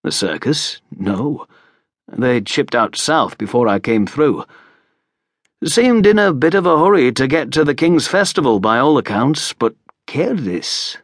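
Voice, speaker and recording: A man's voice: medium pitch, expressive, measured pace, dry recording, clean studio sound, pitched above the performer's own voice. A man voicing a hearty, plain-spoken, hospitable short broad lord in an audiobook, with a bluff, informal manner. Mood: bluff, welcoming. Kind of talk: audiobook character voice.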